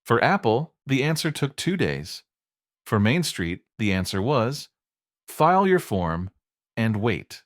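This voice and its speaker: A man reading scripted explainer narration in a measured, emphatic way, built on short dramatic statements. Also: medium pitch, sing-song, no echo, clean studio sound.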